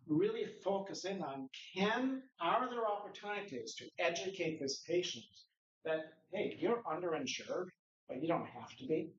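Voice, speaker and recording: Conference speaker: man, slightly high voice, posing rhetorical questions, picked up by a distant mic in a roomy hall. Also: a little hiss or hum. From Norway. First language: Norwegian. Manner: conversational lecturing with rhetorical questions. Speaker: conference presenter. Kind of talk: conference presentation. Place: conference room. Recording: echoey room, distant mic, dull sound.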